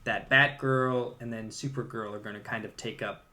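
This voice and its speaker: A man with a bright, forceful voice of average pitch co-hosts a podcast. He talks casually and conversationally, offering his opinions on movies. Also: fairly even intonation, normal speed. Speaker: podcast co-host. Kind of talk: casual film discussion.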